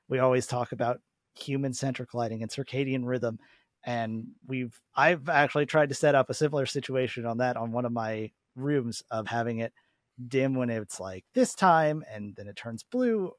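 A man talking in a relaxed, conversational way as a panelist in a casual podcast discussion. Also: medium pitch, no echo, clean studio sound.

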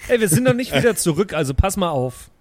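Higher-voiced man playing a tabletop game. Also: very animated, quick pace, darkish tone, dry recording. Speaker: role-playing game player. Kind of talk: casual improvised in-character chat.

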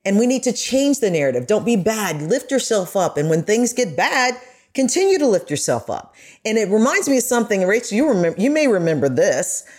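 A woman's voice: mid-range voice, very animated, brisk, a little mellow. Earnest middle-aged woman in interview. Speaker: guest, broadcast journalist. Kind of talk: conversational interview.

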